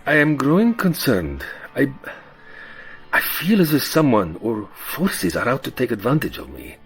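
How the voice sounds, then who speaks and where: A man playing an anxious, earnest client of a psychic in an audio drama, guarded and halting, speaking formally. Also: mid-range voice, sing-song, a bit bright. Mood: worried, halting. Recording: music under the voice.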